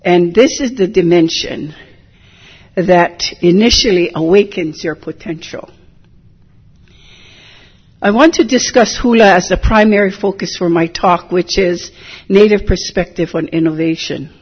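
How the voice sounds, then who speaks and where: An older woman from Hilo, giving a measured, reflective talk as a hula teacher, often drifting into digressions. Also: on the low side, slightly rough. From Hilo, Hawaii. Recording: dull lo-fi recording.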